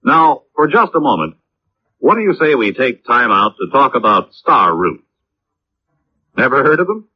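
A man working as a radio announcer reads a scripted 1950s public-service message with folksy, deliberate emphasis and a husky voice. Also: medium pitch, sing-song, crowd noise. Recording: muffled old radio recording.

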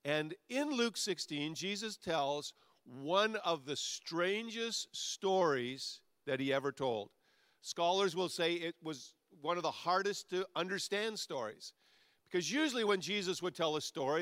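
A man's voice: on the high side, animated, measured pace, fairly bright tone, no room sound, studio quality. A male pastor preaching a sermon in a conversational way that builds to emphatic peaks.

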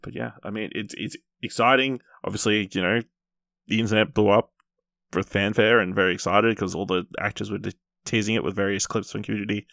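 A man's voice: on the low side, fairly even intonation, fairly quick, creaky, a bit bright, dry recording, clean studio sound. A man co-hosting a podcast, chatting casually through film and TV news. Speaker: podcast co-host.

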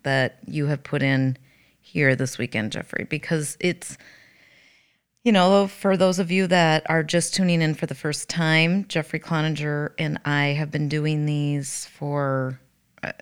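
Low-voiced, slightly raspy woman. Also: steady tone, measured pace. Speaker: podcast co-host, hospice worker. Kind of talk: conversational podcast talk.

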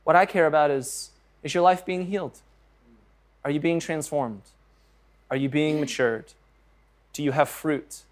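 A male pastor with a fairly high voice preaches in an earnest, conversational way. He moves between scripture reading and plain talk to the congregation and pokes fun at his own stumbles. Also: unhurried, pauses often.

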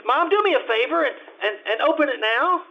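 Man as very high-pitched eager boy. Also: lively intonation, quick pace. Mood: eager, excited. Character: eager young boy proud of his gift. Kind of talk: old-time radio drama acting. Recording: muffled stage radio show with music.

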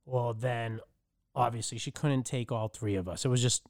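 A middle-aged man from the Dominican Republic tells his life story as a podcast guest. His voice is smooth and clean, and the recording is dry with no room sound. He pauses and restarts often as he works through his memories. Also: medium pitch, steady tone, no echo. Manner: halting, with frequent restarts and fillers. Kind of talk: personal storytelling.

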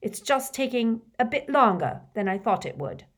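A woman's voice: fairly high pitch, sing-song, moderate pace, pitched above the performer's own voice. A woman voicing a defiant, resentful teenage boy wizard who talks back with a sneer in an audiobook.

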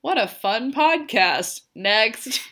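A young woman with a sick voice co-hosts a podcast in casual conversation. Her tone is bright and forceful, and her humor is dry and wry as she tells her stories. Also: a little high, expressive, slow pace. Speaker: podcast co-host. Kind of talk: casual chat.

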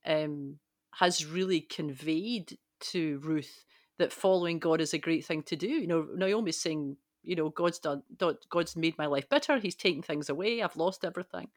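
A woman's voice: sits low, moderate pace, dry recording, clean studio sound. A woman in a conversational Bible discussion, thinking aloud emphatically and posing rhetorical questions. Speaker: podcast panellist.

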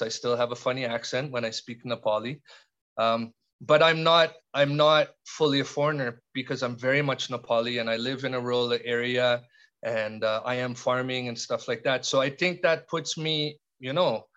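A middle-aged man from Canada, a podcast guest speaking in a reflective, halting way with frequent restarts and fillers. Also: medium pitch, a bit bright, muffled recording. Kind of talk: reflective conversational interview.

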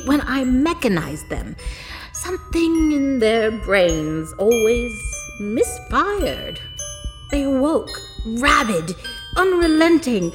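A woman's voice: high-pitched, heavily acted. Doting, demented old madwoman, wildly swooping. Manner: theatrical, savoring words, breathy gasps and laughter. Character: ancient demented female mad inventor, doting and manic. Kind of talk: audio drama acting.

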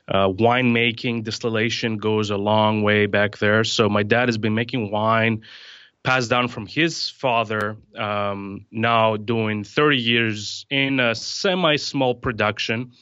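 A man from Greece in his thirties, explaining things in a relaxed, rambling way with plenty of fillers. His voice is bright and forward, recorded dry and close with a slightly dull, muffled top end. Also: lowish voice, takes it slowly, smooth, no echo. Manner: relaxed, many fillers and restarts. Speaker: distiller, podcast guest. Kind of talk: casual podcast conversation.

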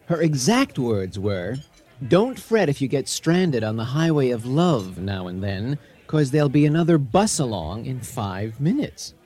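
An actor playing an easygoing, teasing American husband in a 1980 radio drama, with a wry, conversational delivery. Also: male voice, medium pitch, big pitch swings, a little slow, darkish tone. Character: joking, sceptical husband, tall and easygoing.

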